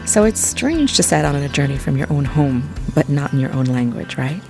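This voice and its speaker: Low, gravelly woman narrating gently. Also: animated, a little fast. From English-speaking country. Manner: soft, gentle, addresses the listener directly. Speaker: audio tour guide. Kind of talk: scripted intimate narration. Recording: faint background music.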